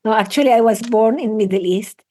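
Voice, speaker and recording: A woman's voice: a little high, brisk, warm tone. A woman in her sixties from Iraq, raised in Venezuela, whose first language was Assyrian Aramaic, speaking reflectively as a guest with frequent restarts. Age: 60s. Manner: reflective, repeats and restarts phrases. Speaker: guest, psychotherapist and breathwork trainer. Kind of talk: casual interview conversation.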